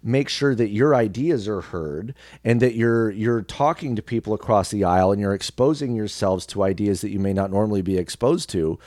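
A man's voice: fairly low pitch, moderate pace, hardly any pauses, darkish tone, dry recording, clean studio sound. A man chatting casually as an interview guest, often searching for his words and repeating them. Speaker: podcast guest, radio host.

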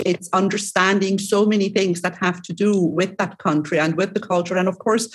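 Middle-aged woman, German speaker, husky voice, affirming, interview host. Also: mid-range voice, rough recording. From Switzerland. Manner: warm, affirming, shares her own experience. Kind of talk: conversational interview.

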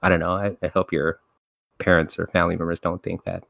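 A man hosting a casual podcast, animated and quick to laugh as he talks. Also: low-pitched, gravelly. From United States. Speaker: podcast host.

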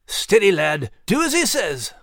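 A man voicing a loyal, protective dog with a brisk, hearty, encouraging manner in a storybook. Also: high voice, huge pitch swings, a bit bright, no room sound, clean studio sound, pitched above the performer's own voice, exaggerated voice. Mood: steadying.

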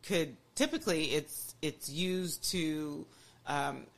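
Enthusiastic lower-voiced woman podcast host. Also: slow pace. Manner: enthusiastic, many restarts and "you know". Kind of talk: casual podcast chat.

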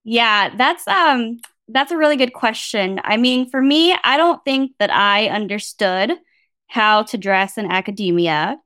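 American woman, guest academic, higher voice, candid, matter-of-fact, conversational, dry sound. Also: unhurried, fairly bright tone, smooth, no echo. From San Francisco Bay Area, United States. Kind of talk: candid conversational interview answer.

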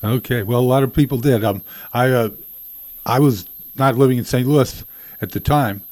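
An older man guesting on a radio show as a baseball historian, answering in a measured way with frequent ums. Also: mid-range voice, darkish tone, no echo. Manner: measured, many ums and uhs.

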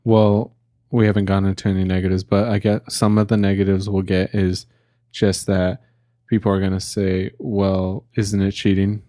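Young guy kinda tipsy rambling on a podcast, low flat voice, close dry mic. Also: moderate pace, no echo. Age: young adult. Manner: rambling, with many fillers and restarts. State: a little drunk. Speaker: podcast co-host. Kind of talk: casual podcast chat.

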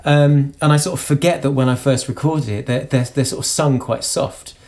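A singer-songwriter from the UK, talking in an interview about his singing, with a high voice and halting speech full of restarts. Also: steady tone, a little mellow. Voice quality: self-described high voice. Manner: halting, many restarts and repeated words. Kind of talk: conversational interview.

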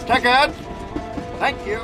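Period audio drama: railway conductor, high projected singsong, music behind. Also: male voice, takes it slowly. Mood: announcing. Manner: loud, projected calling. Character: train conductor calling out stops in singsong.

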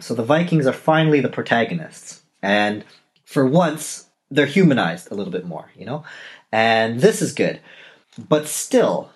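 Canadian guy in his 30s rambling on his podcast, mid pitch, keeps backtracking and correcting himself. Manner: chatty, digressive, with self-corrections. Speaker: podcast host and novelist. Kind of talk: casual solo podcast talk.

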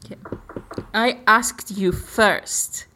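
A woman's voice: medium pitch, steady tone, drawn-out words, pushed and bright. A woman co-hosting a casual podcast, a non-native English speaker whose phrasing drops small words. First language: not English. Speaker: podcast co-host. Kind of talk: casual conversational talk.

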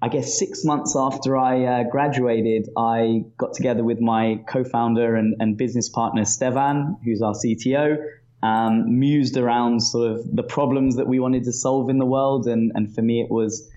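A man's voice: medium pitch, steady tone, normal speed, mellow, dull audio. A British man in his thirties from London, answering interview questions casually with many restarts and fillers over a video call. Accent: British English. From northwest London, England. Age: 30s. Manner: repeats words at phrase starts, many fillers. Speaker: startup founder, guest. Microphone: video call from home.

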